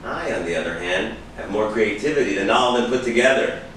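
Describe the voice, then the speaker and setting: In a noisy, very reverberant room, a male actor plays a washed-up screenwriter with a lowish voice. He is cynical, proud and defensive, with a sardonic edge suited to a stage reading. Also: lively intonation, fairly quick, fairly bright tone. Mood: sardonic, defiant. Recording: echoey room, distant mic, noisy background hiss.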